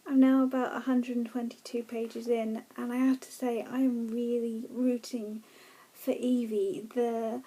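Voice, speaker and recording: A woman's voice: a little high, little pitch movement, measured pace, dark tone, rough recording. A woman giving casual, gushing reactions as she reads through a book, with an enthusiastic way of talking.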